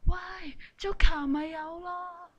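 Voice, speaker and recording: A woman's voice: extremely high pitch, fairly even intonation, takes it slowly. A woman playing cheeky, boastful schoolmates in an amateur comic radio skit, with a playful, exaggerated delivery. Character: boastful, cheeky schoolmates with teasing catchphrase comebacks. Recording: dull amateur recording with background noise.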